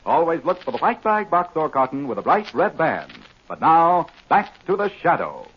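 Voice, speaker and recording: A man's voice: on the high side, very animated, normal speed, breathy edge. An American man reading a 1940s radio advertisement as a commercial announcer. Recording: muffled old radio recording.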